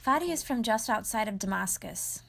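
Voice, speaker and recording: Woman narrating, gravelly edge. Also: fairly high pitch, animated, fairly quick, fairly bright tone. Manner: clear, read narration; short encouraging prompts. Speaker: host and interviewer. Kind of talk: scripted narration and casual interviewing.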